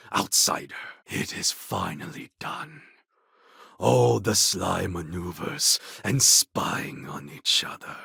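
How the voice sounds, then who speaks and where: An actor playing a proud, warlike clone of a Mongol conqueror in a video game, with a gravelly, husky voice and a deliberate, grandly formal delivery. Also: male voice, lowish voice, dry recording, clean studio sound, heavily acted. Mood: solemn, proud, then grave, brooding. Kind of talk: video game character acting.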